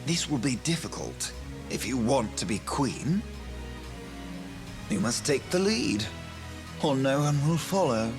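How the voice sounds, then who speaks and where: A man's voice: medium pitch, sing-song, slow pace, pauses often. A man voicing a blunt frog who mentors a princess in a fantasy game, sternly lecturing. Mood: stern scolding. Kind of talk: dramatic fantasy game voice acting. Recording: music behind. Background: music.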